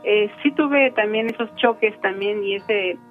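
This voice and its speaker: A woman from Michoacán, Mexico, whose first language is Purépecha, giving a reflective personal account in a thoughtful, unhurried way with frequent pauses. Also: mid-range voice, phone-quality sound, faint music behind. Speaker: interviewee.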